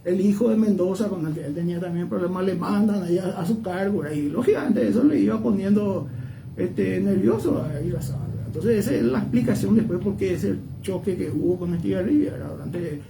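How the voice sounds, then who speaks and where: A man's voice: high voice, fairly quick, vocal fry. A man with a Paraguayan accent giving conversational historical commentary as a guest, digressing and often checking for agreement. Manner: digressive, often seeks agreement with tag questions. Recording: noisy, distant microphone.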